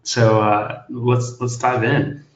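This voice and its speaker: A man's voice: mid-range voice, little pitch movement, slow. A man speaking as a product manager in a webinar, upbeat and enthusiastic. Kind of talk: webinar presentation. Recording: echoey, muffled remote audio.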